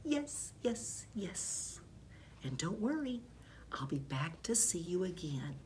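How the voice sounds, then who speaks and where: A woman voicing a kindly, affectionate woman teacher in a storybook, speaking softly and confidingly in a hushed whispery tone. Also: fairly low pitch, very animated, slow pace, slight background noise. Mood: tender.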